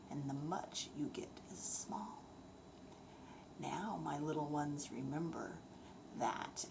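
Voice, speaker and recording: An older woman tells a bedtime story in verse to children, with a breathy, often hushed, expressive delivery. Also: medium pitch, background noise.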